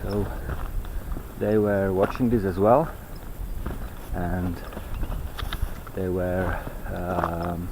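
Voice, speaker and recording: A man giving an unscripted, rambling monologue while walking outdoors, halting and fragmented as he searches for words. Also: deep voice, level tone, noisy background. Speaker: vlogger. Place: outdoors on a walk in nature.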